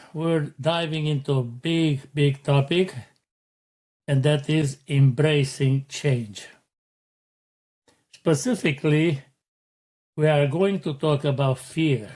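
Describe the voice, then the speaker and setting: Slow, earnest middle-aged man. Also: on the high side, a little mellow. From Romania. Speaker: podcast host. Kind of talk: motivational podcast monologue.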